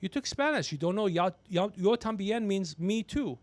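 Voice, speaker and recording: A man's voice: sits high, expressive, normal speed, no room sound. A man in his fifties chatting casually on a livestream as host, brash and ranting, swearing freely. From Persian background. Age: 50s. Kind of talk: casual profane livestream chat.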